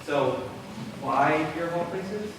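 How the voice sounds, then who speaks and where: A man's voice: on the high side, animated, slow pace. A male actor playing an awkward, curious teenage boy in an amateur stage play, tentative and questioning. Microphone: distant video camera. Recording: distant microphone, echoey noisy hall.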